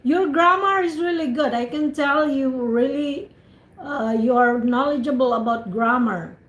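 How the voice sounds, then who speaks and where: Filipino woman tutor on a video call, fairly high voice, echoey room, talks through feedback with lots of restarts. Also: a little slow. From Philippines. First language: Cebuano. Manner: encouraging, explains at length with many restarts. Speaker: IELTS speaking tutor. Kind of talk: giving spoken feedback on an online call.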